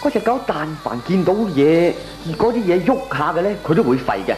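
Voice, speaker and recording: A gleeful, plotting neighbour in a Cantonese radio comedy with a Hong Kong accent. His pitch swings widely as he wheedles and explains. The recording is roomy, with some music behind. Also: big pitch swings, a little fast, darkish tone. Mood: scheming. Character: scheming, gleeful male neighbour hatching petty plots.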